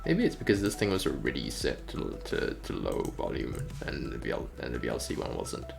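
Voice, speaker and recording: A man's voice: very deep voice, huge pitch swings, a little fast, gravelly. A man streaming in a casual, rambling way, thinking aloud with hesitant phrasing. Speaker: streamer, game developer. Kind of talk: casual livestream chat. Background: music.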